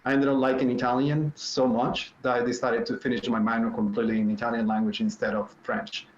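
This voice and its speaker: A man in his fifties with a Puerto Rican Spanish accent speaks in a relaxed, storytelling way and fills pauses with ums. His voice sits at an ordinary pitch for a man. It sounds a little dull and roomy, as if picked up in a room at home over a video call. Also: a little hiss or hum. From Puerto Rico. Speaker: panelist. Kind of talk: virtual panel talk. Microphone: video call mic.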